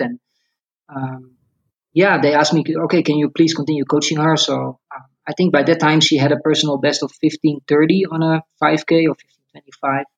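A man's voice: fairly high pitch, husky, muffled recording. A man in his forties from the Netherlands with a Dutch accent, a coach chatting casually as an interview guest. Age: 40s.

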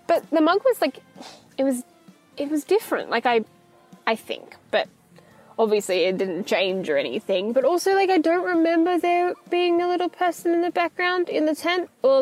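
High-voiced, nervously rambling female store worker, acted by a woman. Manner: hesitant, rambling, nervous stammering and self-correcting. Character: anxious, rambling, over-polite young female grocery store employee. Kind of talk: naturalistic audio fiction monologue, like a voicemail.

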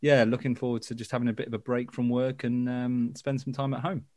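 A man's voice: mid-range voice, level tone, a little fast, a little mellow, no room sound, studio quality. A man with a Geordie accent co-hosting a podcast in casual, jokey banter. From Newcastle, England. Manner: jokey, self-mocking banter. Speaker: podcast co-host.

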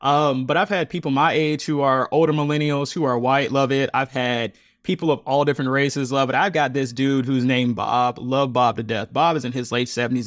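A man in his thirties, a guest on an interview podcast, talking earnestly and reflectively, often laughing and jumping up in pitch when amused. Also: dry recording, clean studio sound. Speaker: podcast guest, audio storyteller.

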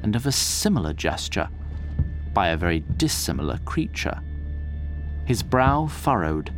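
Theatrical male storyteller, very animated. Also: low-pitched, music in the background. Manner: theatrical, deliberate, wry. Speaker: narrator. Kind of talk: scripted fantasy storytelling narration.

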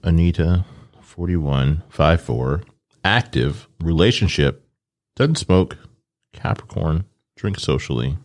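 Deep-voiced man, teasing, pries with questions, casual chat. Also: expressive, slow pace.

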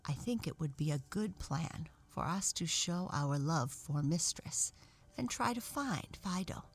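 A woman's voice: sits low, animated, a little slow, slightly rough, heavily acted. A woman voicing an earnest, tender-hearted rag doll leader in a children's storybook, speaking thoughtfully and politely. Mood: earnest, encouraging. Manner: thoughtful, old-fashioned polite speech.

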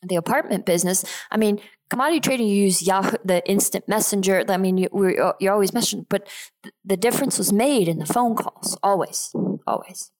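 A woman's voice: medium pitch, fairly quick, a little mellow, low-quality recording. A woman in her thirties from Switzerland, talking casually as an interview guest. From Geneva, Switzerland. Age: 30s. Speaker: podcast guest, real estate investor.

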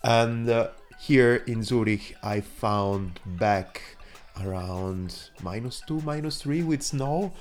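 Italian guy with a cold, scratchy throat, rambling podcast intro, lots of uhs, mid pitch. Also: slow pace, soft background music. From southern Italy. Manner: chatty, rambling, many fillers and restarts. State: hoarse from a cold. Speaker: podcast host. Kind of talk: casual solo podcast intro.

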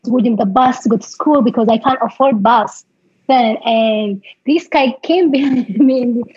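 A young woman from Ethiopia with an Ethiopian accent, a podcast guest telling her life story in halting English, repeating words and laughing through hard memories. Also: fairly high pitch, a little mellow, slightly rough, dry recording, muffled recording.

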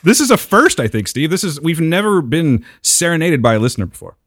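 Lively, joking man co-hosting a podcast. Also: fairly high pitch, fairly quick, no echo, studio quality. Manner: playful, joking, teasing. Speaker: podcast co-host. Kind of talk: casual banter.

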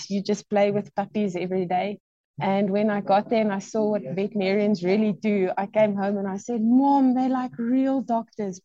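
A woman in her thirties from South Africa, with a South African accent, telling stories in a relaxed, casual interview. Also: medium pitch, no room sound, muffled recording. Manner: relaxed storytelling with occasional laughs. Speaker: veterinary pathologist guest.

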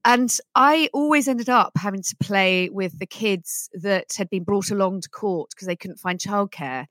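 A woman's voice: mid-range voice, measured pace, a bit bright, no room sound, low-quality recording. A woman who grew up in the Netherlands, speaking English and Dutch from childhood, telling her story as a guest in a chatty, humorous way. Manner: chatty and humorous, laughs often. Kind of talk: casual interview conversation.

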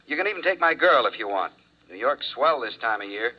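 An actor playing a brisk, teasing male insurance claims manager in a 1950s radio drama. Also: medium pitch, lively intonation, close to an everyday voice. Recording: lo-fi vintage radio recording.

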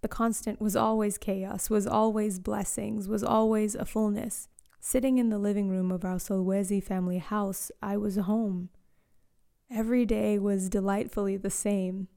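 Late-twenties woman, mellow and lightly gravelly, reading a reflective first-person story with quiet, lyrical pacing. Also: medium pitch, fairly even intonation. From born in Eswatini to Zambian parents, raised in South Africa, Australia and Canada. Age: late 20s. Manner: slow, reflective, lyrical reading with soft hushed lines. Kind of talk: scripted personal narration.

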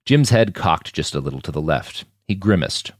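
Deep-voiced man narrating fiction with animation. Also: expressive, normal speed, no echo, studio quality. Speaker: author reading his fiction podcast. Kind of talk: scripted dramatic audiobook narration.

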